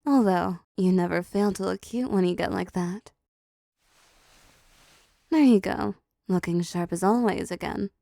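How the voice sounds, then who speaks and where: A seductive, possessive female demon played by a woman speaks slowly and closely in a dark, mellow voice with a creaky edge. She is teasing and controlling, doling out condescending pet names as in an intimate audio roleplay. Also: medium pitch, no echo, studio quality. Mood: doting, teasing. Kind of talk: intimate roleplay audio drama, addressing the listener.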